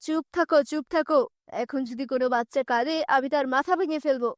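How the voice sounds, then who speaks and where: A woman's voice: high voice, a little fast, no echo, clean studio sound, natural voice. A woman voicing a greedy, bullying male kidnapper in a cartoon tale, with a threatening, commanding manner.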